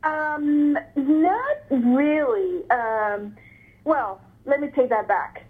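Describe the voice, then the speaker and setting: A woman from Ecuador answering interview questions, hesitant, with many fillers and frequent throat clearing. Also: high voice, expressive, extremely slow. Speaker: interviewee, artist. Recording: phone-like narrow sound.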